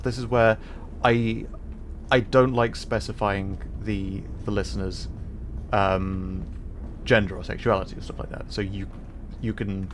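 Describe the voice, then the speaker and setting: A man hosting a casual game livestream, talking in halting, hesitant phrases with pauses. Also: on the low side, slight background noise. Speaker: livestream host.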